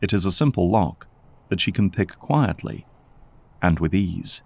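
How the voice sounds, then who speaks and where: Deep-voiced male drama narrator. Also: animated, measured pace, gravelly, a little mellow, slightly rough.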